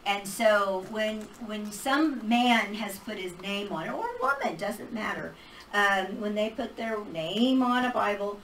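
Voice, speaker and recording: A middle-aged woman leads a casual Bible class, talking with a handheld mic. Her voice is animated, and she breaks into laughter often. She sounds roomy and distant, as if the camera picked her up from across a small hall. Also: medium pitch, measured pace, slight background noise. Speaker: Bible teacher. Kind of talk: casual teaching talk. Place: small church hall with projector screen. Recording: roomy hall recorded from far off.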